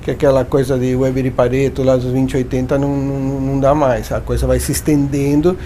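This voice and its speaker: A professor from Uruguay, a man with a Uruguayan Spanish accent, answers interview questions at length in a considered, explanatory manner. His voice is mellow and warm. A faint rumble sits under the recording. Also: level tone, a little fast. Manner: thoughtful, explanatory, with frequent restarts and tag questions. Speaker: branding professor and guest.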